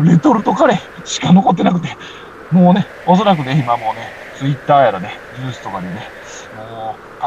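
A man's voice: mid-range voice, highs cut off, noisy background, close to an everyday voice. A man with a Kansai accent playing a sleepy, whining podcaster stranded on a snowy mountain in an amateur comedy radio drama.

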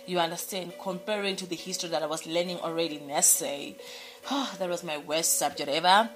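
A woman's voice: fairly low pitch, fairly quick, low-quality recording. A young woman from Zimbabwe, raised in South Africa, a radio personality answering casual interview questions in a bubbly, rambling way with many fillers and restarts. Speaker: radio personality, podcast guest. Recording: music playing behind.

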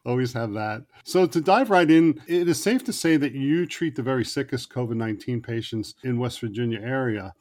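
A man's voice: medium pitch, expressive, normal speed, hardly any pauses, darkish tone. Male interview host, middle-register voice, conversational delivery with some prepared phrasing. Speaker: podcast host interviewing a guest.

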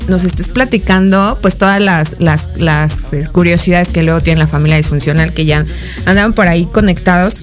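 A woman with a Mexican accent hosting a casual interview, chatty and quick to laugh. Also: lowish voice, faint music behind. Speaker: host.

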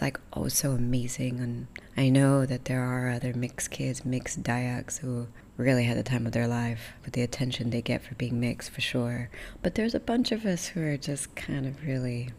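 Husky low-voiced woman with American accent. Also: slight background noise. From Sarawak, Malaysia. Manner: candid, animated retelling with frequent whispers and laughs. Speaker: podcast host. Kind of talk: personal storytelling monologue. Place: lying on a couch in a dark room.

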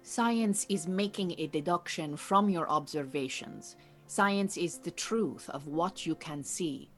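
A composed, exacting beekeeper, an Iranian refugee woman, in an audio drama. Her voice is a little low, with dry, measured, formal phrasing. There is faint music behind her. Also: a bit bright. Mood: cautioning.

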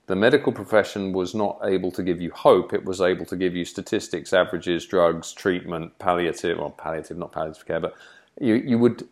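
A man hosting an interview, thoughtful, restating his guest's story at length before asking. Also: deep voice. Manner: thoughtful, rephrases questions at length. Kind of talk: podcast interview.

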